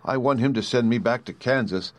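A man's voice: mid-range voice, a little fast, close to an everyday voice. A man voicing an earnest young girl traveler in a storybook, asking things plainly and eagerly.

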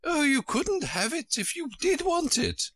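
A helpless, fretful queen from a storybook, acted out by a man reading aloud. The voice is pitched far up and swoops about with a melancholy, put-upon air. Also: very animated, a little slow, pushed and bright, no room sound, clean studio sound, exaggerated voice. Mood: insistent.

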